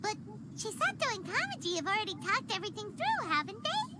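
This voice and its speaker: Tiny chatty fairy, super squeaky, jumps all over the place, game music underneath. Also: female voice, wildly swooping pitch, brisk, full character voice. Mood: puzzled. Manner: high squeaky childlike voice, refers to herself by name. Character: tiny floating female fairy companion, chatty.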